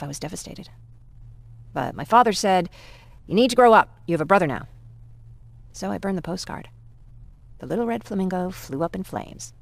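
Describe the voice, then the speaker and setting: A young woman survivor in a sci-fi game, with a noticeably creaky voice. She talks naturally and reflectively, with a wistful, wry edge. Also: lowish voice, no echo. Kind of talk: naturalistic game cutscene acting.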